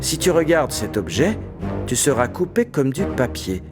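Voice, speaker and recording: A man's voice: medium pitch, very animated, moderate pace, music in the background, lightly acted. A man playing a gallant, naive young prince in a children's cartoon, theatrical, eager and courteous. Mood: puzzled.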